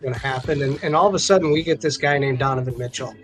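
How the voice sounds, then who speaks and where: A man's voice: medium pitch, quick pace, soft background music. A man co-hosting a sports show with casual chat, often repeating words as he goes. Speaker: co-host of a sports card live stream.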